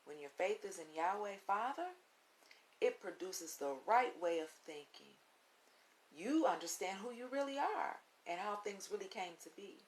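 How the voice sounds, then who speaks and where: A woman reading a children's book aloud as a storytime host, with deliberate, instructive phrasing and emphatic pauses, on a recording with audible hiss. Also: mid-range voice, expressive, poor audio. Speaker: read-along storytime host. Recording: audible hiss and background noise.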